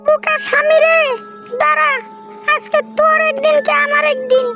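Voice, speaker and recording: A woman's voice: extremely high pitch, level tone, normal speed, creaky, dull audio, loud music behind the voice. A woman voicing an exasperated, scolding fox wife in a comic cartoon. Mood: furious.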